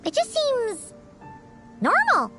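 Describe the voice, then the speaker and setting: A tiny girlish fairy sidekick for a video game. The voice is extremely high and squeaky, swooping around in pitch, blunt and excitable in a cartoonish way. Music plays clearly underneath. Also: takes it slowly, heavily acted. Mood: puzzled, skeptical. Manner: refers to herself in third person, squeaky, blunt.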